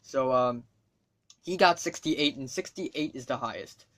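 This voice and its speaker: A man's voice: mid-range voice, unhurried, a bit bright. A teenage boy from Hong Kong whose first language is Cantonese talks casually to camera, rambling, swearing freely and making wry asides. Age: teens. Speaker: student vlogger.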